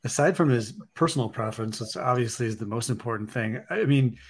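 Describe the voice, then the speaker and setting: A man co-hosting a casual sports podcast, speaking in a measured way. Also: medium pitch, husky. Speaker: podcast co-host.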